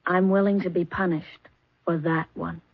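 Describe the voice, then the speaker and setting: A woman's voice: fairly low pitch, flat delivery, moderate pace, vocal fry, breathy edge, dry recording. A woman playing a charming, scheming young poisoner in a 1970s radio drama, speaking sweetly and politely. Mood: cool. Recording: dry, dull old radio broadcast.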